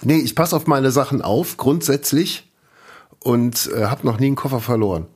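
A man co-hosting a podcast in casual chat, a dry, deadpan storyteller who now and then breaks into shrill mock rants. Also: normal speed, slightly rough. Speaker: podcast co-host.

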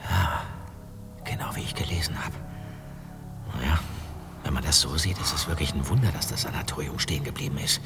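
An actor playing a hesitant, bookish teenage boy detective in an audio drama, agreeable and thinking aloud in halting phrases. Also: rumbling low. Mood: studious, halting. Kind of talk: German audio drama acting. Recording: long hall reverb, music behind.

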